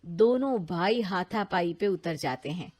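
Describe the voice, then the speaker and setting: A woman's voice: on the low side, expressive, a little slow, a little mellow. A woman reading scripted narration for a cartoon story in a plain, matter-of-fact way.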